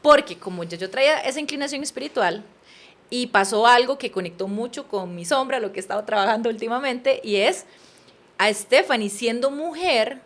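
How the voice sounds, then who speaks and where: A woman in her thirties with a Costa Rican accent, a podcast guest telling her life story in an earnest, animated way. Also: a little high, moderate pace. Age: 30s. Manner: earnest, animated, addresses listener as usted. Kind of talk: personal storytelling in conversation.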